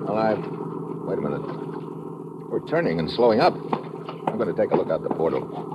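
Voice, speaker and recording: An actor playing a wry, tough American adventurer in an old-time radio drama, dry and sardonic, on a muffled, noisy vintage recording. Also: male voice, low voice, big pitch swings, a little fast. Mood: wary, irritated. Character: wry, tough American undercover adventurer narrating his own exploit. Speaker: lead actor and narrator.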